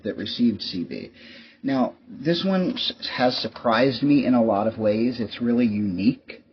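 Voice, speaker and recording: A man hosting a casual show-and-tell vlog, talking in a rambling, wry, resigned way. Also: mid-range voice. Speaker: YouTube host. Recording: muffled lo-fi mic with clear background noise.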